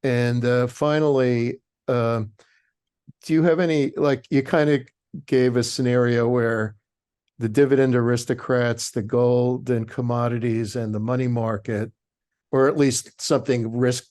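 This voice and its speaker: A man from the audience asking questions in a halting way, pausing and restarting often. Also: medium pitch, darkish tone. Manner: hesitant, full of pauses and restarts.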